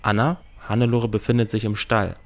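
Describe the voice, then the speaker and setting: A man's voice: lowish voice, flat delivery, a little fast, lightly acted. A man playing a troubled village blacksmith and father in a fantasy audio drama. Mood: urgent, worried.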